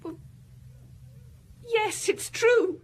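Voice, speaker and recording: A woman's voice: extremely high pitch, very slow, full character voice. A woman playing a meek, deferential young housemaid in a radio detective drama, hesitant and apologetic. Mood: frightened, tearful.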